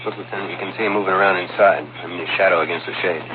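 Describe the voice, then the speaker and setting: An actor playing a plain-spoken police officer in a 1950s radio crime drama. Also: male voice, sits low, steady tone, very fast, natural voice. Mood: alert. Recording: very noisy, tinny old radio recording.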